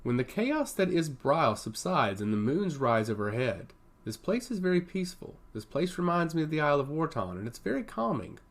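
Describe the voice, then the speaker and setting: A man's voice: on the high side, very animated, a little fast. A man voicing a poised young princess, lilting delivery. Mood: calm, wistful. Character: poised, polite young princess, earnest and self-assured.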